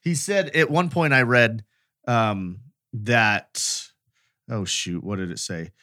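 A man co-hosting a podcast in casual chat, with halting phrasing. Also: lowish voice, bright, forceful tone, dry recording, studio quality. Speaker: podcast co-host.

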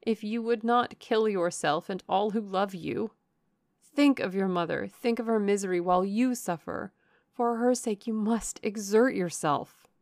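Earnest, solemn young gentlewoman played by a woman. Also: medium pitch, a little slow, vocal fry, no echo, studio quality. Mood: urgent pleading. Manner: solemn, urging. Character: grave, caring elder sister, restrained and earnest.

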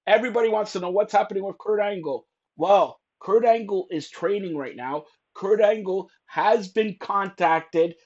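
A man hosting a wrestling podcast, talking loudly and teasing his guests, rambling with lots of fillers. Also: sits high, normal speed, hardly any pauses, muffled recording. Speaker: podcast host. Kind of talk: loud joking wrestling podcast chat.

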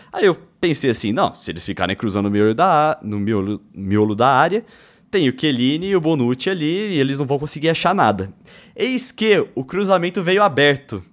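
A Brazilian man hosting a casual football podcast in a jokey way, with some theatrical flair. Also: a little high. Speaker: podcast host.

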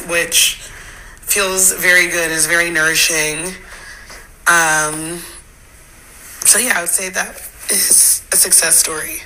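A hoarse woman leaving a halting phone message for a podcast, with many pauses and restarts. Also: lowish voice, pushed and bright, rough recording. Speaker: listener contributor. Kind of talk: recorded voice message. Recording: phone voice memo with faint noise.

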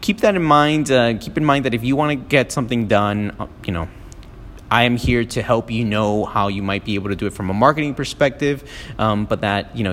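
A man's voice: fairly low pitch, a bit bright, long reverb tail, slight background noise. A man from Miami talking alone as a podcast host, casual and rambling with many ums and restarts, recorded on a phone. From United States (Miami). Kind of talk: solo podcast monologue. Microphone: phone app.

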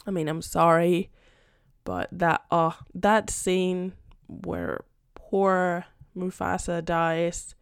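A woman's voice: on the low side, clear, resonant tone, dry recording, studio quality. A young woman from Spain, a native Spanish speaker, chatting casually and a bit rambling on her podcast, full of fillers. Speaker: podcast host and English teacher. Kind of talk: casual solo podcast chat.

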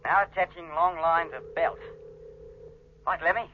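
A man acting a brisk, practical spaceship chief engineer who is quick to snap, in 1950s British radio drama style. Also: a little high, fairly even intonation, lightly acted. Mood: careful, firm. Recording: dull, noisy 1950s radio recording.

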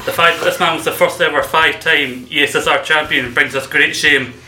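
A man's voice: on the high side, steady tone, fairly quick, pushed and bright. A man hosting a comedy wrestling podcast, teasing his co-hosts and laughing often. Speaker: podcast host. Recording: echoey room.

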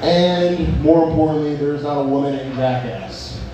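A man's voice: on the high side, normal speed. Crude young man doing rambling stand-up. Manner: crude, rambling, self-mocking, restarts jokes. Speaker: stand-up comedian. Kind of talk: open-mic stand-up set with crowd work. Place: bar open mic. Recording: echoey bar from far off, noisy.

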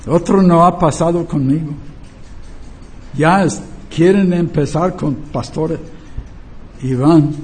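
A man's voice: fairly high pitch, slow pace, pauses often, darkish tone, soft background music. An older man in Spanish, preaching and teaching emphatically, repeating key phrases and asking rhetorical questions. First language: not a native Spanish speaker.

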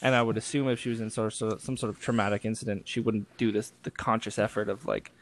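A man's voice: lowish voice, fairly even intonation, quick pace. A man co-hosting a casual movie review podcast, swearing freely and trailing off mid-thought. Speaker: podcast co-host.

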